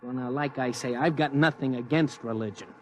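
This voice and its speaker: A man's voice: mid-range voice, a little fast, darkish tone, a little hiss or hum, lightly acted, poor audio. An actor playing a skeptical, folksy man in the street in a radio drama, blunt and dismissive in colloquial talk.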